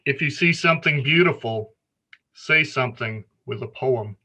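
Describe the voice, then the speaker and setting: A man reading a children's picture book aloud in an expressive storytelling manner. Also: medium pitch, normal speed, background noise. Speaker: story reader.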